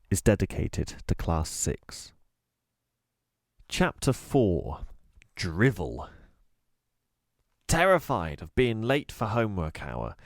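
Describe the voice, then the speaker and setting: A man reading a children's book aloud with expressive, lively storytelling. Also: deep voice, dry recording, studio quality.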